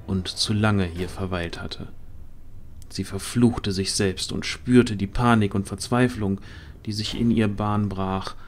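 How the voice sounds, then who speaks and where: A man reading dramatic scripted narration for a fantasy audio drama. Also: lowish voice.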